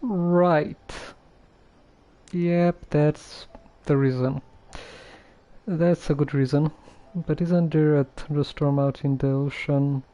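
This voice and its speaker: Slow, mellow male game streamer. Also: a little high, steady tone, muffled recording. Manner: hesitant, musing, puzzled aloud. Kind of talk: casual game commentary.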